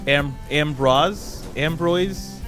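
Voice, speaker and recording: A man's voice: on the high side, extremely slow. Casual sweary man reacting on stream. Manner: profane, slangy. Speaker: streamer and host. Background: game showcase audio and music.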